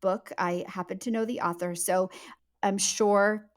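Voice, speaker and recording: A woman's voice: medium pitch, steady tone, measured pace. A woman speaking casually as an author being interviewed on a podcast. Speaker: author guest.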